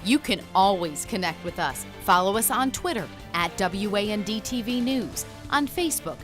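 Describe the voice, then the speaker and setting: A woman's voice: mid-range voice, animated, moderate pace. A woman reading a scripted station promo as a voice-over. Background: music.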